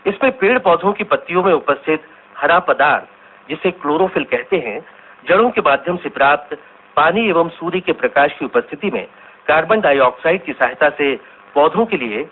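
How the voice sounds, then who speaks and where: A man's voice: a little high, expressive, normal speed. A man playing a radio science correspondent, giving a formal, didactic scripted report in a radio drama. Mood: instructive. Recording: tinny, narrow-band radio recording.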